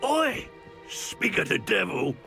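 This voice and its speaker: Gravelly, menacing British thug. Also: male voice, high voice, wildly swooping pitch, slow pace, sharp tone, faint music behind, heavily acted. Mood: menacing, gruff. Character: coarse, menacing street thug henchman. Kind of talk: video game cutscene acting.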